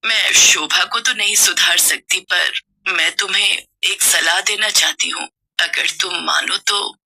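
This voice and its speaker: A caring elderly mother-in-law, voiced by a woman, gives motherly advice in a soft, lowered voice. The tone stays bright and clear. It suits a children's cartoon story. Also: measured pace, heavily acted, poor audio. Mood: gentle.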